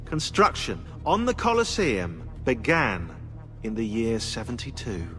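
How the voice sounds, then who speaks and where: A smug, excitable historian from a video game, explaining with sardonic eagerness. His intonation is very animated and swings up and down. Faint music runs behind the voice. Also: mid-range voice, a little slow. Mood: excited, smug. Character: sarcastic, excitable know-it-all historian man. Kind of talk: video game dialogue acting.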